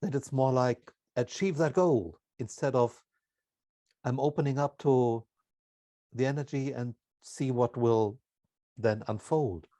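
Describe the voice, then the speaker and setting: Man, interviewer, slow pace, soft dark tone, measured halting phrases, dry recording. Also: mid-range voice, no echo. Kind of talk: reflective interview conversation.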